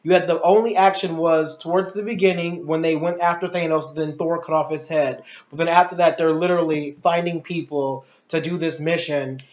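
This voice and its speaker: A man's voice: on the high side, fairly even intonation, normal speed, hardly any pauses. A man giving casual, opinionated film commentary in an emphatic, ranting way full of rhetorical questions. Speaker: movie reviewer. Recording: muffled, echoey room recording.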